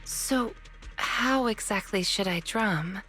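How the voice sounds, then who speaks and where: A woman's voice: medium pitch, slow pace, a bit bright, soft background music. A woman playing an earnest, stubborn young fighter in a video game, plainspoken and matter-of-fact.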